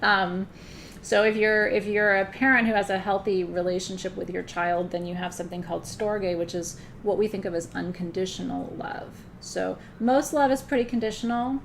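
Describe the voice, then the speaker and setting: A woman's voice: medium pitch, steady tone, moderate pace, gravelly, a little hiss or hum. A woman teaching a live spiritual class in a loose, conversational way, often checking in with her listeners. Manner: loose, frequent fillers and restarts.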